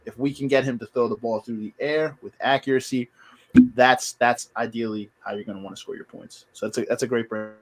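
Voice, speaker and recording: A man's voice: mid-range voice, low-quality recording. A man hosting a podcast, chatting casually about football with his guests as friends. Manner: relaxed, talks to the guests as friends. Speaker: podcast host. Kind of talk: casual sports chat.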